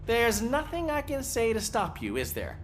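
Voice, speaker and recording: An actor playing a good-natured desk police officer in a video game, chatty, wry and a little hesitant. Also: male voice, extremely high pitch, wildly swooping pitch, moderate pace, a little hiss or hum, exaggerated voice. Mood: helpful. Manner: hesitant, wry, eager small talk.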